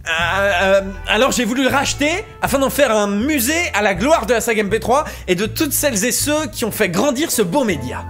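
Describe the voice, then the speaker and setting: An actor as a scheming start-up boss in a French audio comedy. The delivery is pompous and unctuous, laying on theatrical corporate flattery. Music plays faintly behind the voice. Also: medium pitch, brisk, hardly any pauses, natural voice. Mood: smooth, reassuring. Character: smooth-talking, scheming start-up boss flattering rebellious staff.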